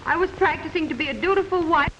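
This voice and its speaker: A high, sharp-voiced leading lady in a vintage comic mystery film. She is sassy, nervous and indignant, always ready with a quip. The sound is dull and old. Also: a little hiss or hum. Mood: indignant, shaken. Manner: sassy, indignant, quick with quips. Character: wisecracking, nervous, indignant young female sweetheart. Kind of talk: 1930s-40s comic mystery film acting.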